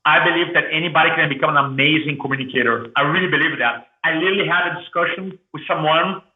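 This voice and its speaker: A man from Brazil with a thick Brazilian Portuguese accent, telling his story as an interview guest in an energetic, restarting way. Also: on the high side, fast. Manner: energetic, repeats and restarts words. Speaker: guest, public speaking coach. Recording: echoey room over phone-like line.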